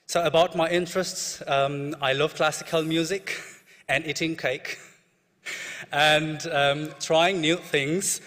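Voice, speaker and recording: A man's voice: fairly high pitch, steady tone, slow pace, fairly bright tone. A young man from Ethiopia with an Ethiopian accent, presenting his research as a PhD student in a short personal conference talk. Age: young adult. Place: large hall at a conference. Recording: echoey hall with long reverb.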